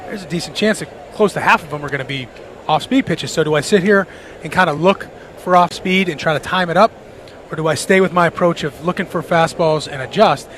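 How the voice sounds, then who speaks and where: A man's voice: fairly high pitch, fairly quick, fairly bright tone, slight background noise. A man giving conversational baseball analysis as a radio color commentator, rambling as he thinks aloud. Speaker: color commentator, former player.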